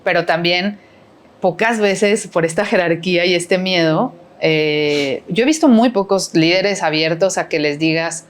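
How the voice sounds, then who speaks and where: A woman's voice: lowish voice, measured pace. A middle-aged woman with a Mexican accent, chatting as a podcast guest and rambling with many fillers and tag questions. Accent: Mexican Spanish. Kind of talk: casual conversational interview.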